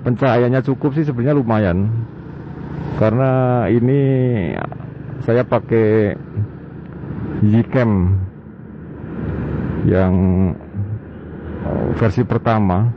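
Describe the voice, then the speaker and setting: A man chatting casually and haltingly as he vlogs from a motorbike. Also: mid-range voice, fairly even intonation. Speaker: motovlogger, host. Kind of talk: casual motovlog chat while riding. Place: outdoors on a moving motorbike at night. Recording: muffled band-limited audio, loud road noise. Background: traffic and vehicle noise.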